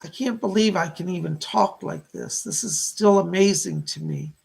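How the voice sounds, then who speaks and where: A weak, remorseful dead man's spirit played by a male medium talks in a heavily creaking, breathy voice. He is halting, earnest and tender, straining to come through in a séance. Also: sits high, sing-song, a little mellow, heavily acted.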